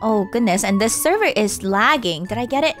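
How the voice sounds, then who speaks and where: A woman's voice: medium pitch, animated, brisk, a bit bright, soft background music. A woman casually narrating a game walkthrough, guiding viewers step by step, her voice tired and strained. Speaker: gaming channel host.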